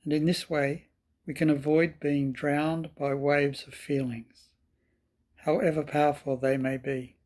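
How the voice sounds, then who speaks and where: A man with a slightly husky voice guiding a meditation in calm, measured, deliberate phrases. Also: on the high side. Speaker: meditation teacher. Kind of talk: slow guided meditation.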